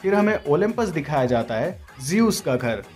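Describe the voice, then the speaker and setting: A man's voice: fairly high pitch, sing-song, normal speed, darkish tone, soft background music. A man hosting an informal, opinionated movie breakdown as an explanatory voice-over. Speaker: video host, trailer breakdown narrator. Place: voice-over recording.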